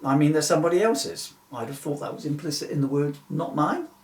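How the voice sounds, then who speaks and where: A man's voice: fairly high pitch, brisk, darkish tone, close to an everyday voice. A man playing a dim, literal-minded rodeo showman in a comic reading, matter-of-fact and pedantic about absurd things. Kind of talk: comic two-hander read from a book. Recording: distant, echoey room recording.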